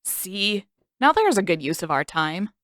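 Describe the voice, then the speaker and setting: Sarcastic teen boy, woman reading him, teasing, blunt, slightly low, a bit loud, dry close sound. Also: big pitch swings, measured pace, no echo. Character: teenage boy, sarcastic, bossy older brother.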